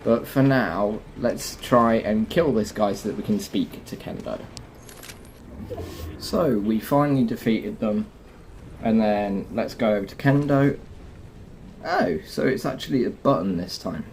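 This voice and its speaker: A man's voice: lowish voice, animated, a little slow, gravelly, a little mellow, slight background noise, poor audio. A man hosting a gaming video, giving a casual walkthrough and explaining each step directly to viewers. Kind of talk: casual game walkthrough commentary.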